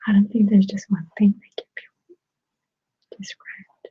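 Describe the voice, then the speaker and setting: A woman with a Russian accent chats casually in a soft, breathy whisper, gently answering viewers on an ASMR stream with halting pauses, close to a sensitive microphone. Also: medium pitch, flat delivery, dry recording, dull audio. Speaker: ASMR creator hosting a live stream.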